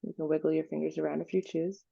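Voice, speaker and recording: A woman leading an unscripted guided visualization meditation, giving gentle instructions with pauses. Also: sits low, level tone, creaky, breathy edge, lo-fi sound.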